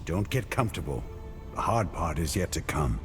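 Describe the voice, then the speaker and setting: Deep-voiced, grim warrior hero. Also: male voice, sing-song, normal speed, music in the background. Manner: terse, stern. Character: grim battle-hungry warrior hero, adult man. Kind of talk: video game cutscene acting.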